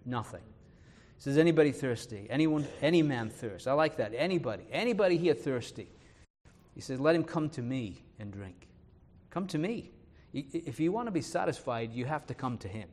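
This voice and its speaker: A male preacher from northern England speaks in a Leeds accent blended with some Irish and American sounds. His pitch sits in the ordinary middle range. He reads scripture slowly and stresses the words, and his plain talk is earnest and full of "uh" pauses. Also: lively intonation, a little mellow. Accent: Leeds English, touched by Irish and American. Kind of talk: sermon with scripture reading.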